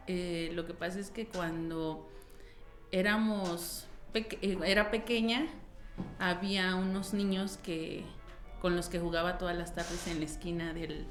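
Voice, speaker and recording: A woman from Michoacán with a Mexican accent, telling childhood memories in an interview. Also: fairly low pitch. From Tingambato, Michoacán, Mexico. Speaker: musician interviewee. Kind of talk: personal recollection in interview. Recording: echoey room, faint music underneath.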